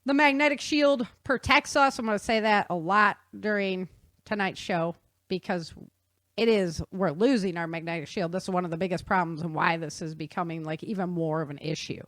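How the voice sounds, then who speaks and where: A woman's voice: lowish voice, normal speed, a bit bright, no room sound. Informal woman podcaster in a dry setting. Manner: informal, self-described nerdy enthusiast. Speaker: podcast host. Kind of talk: casual explanatory talk.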